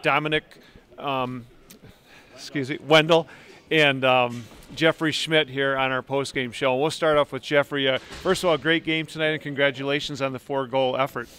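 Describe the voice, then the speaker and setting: A middle-aged male sports broadcaster hosting a postgame interview at an indoor ice rink, speaking into a handheld mic in a relaxed, friendly way with frequent fillers. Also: mid-range voice, normal speed. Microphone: handheld microphone.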